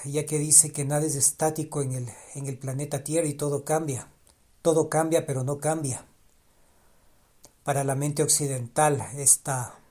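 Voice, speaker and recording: Halting man musing on a podcast. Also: on the high side, warm tone. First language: Spanish. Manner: halting, restarts phrases, repeats ideas for emphasis. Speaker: podcast host. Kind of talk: reflective podcast monologue.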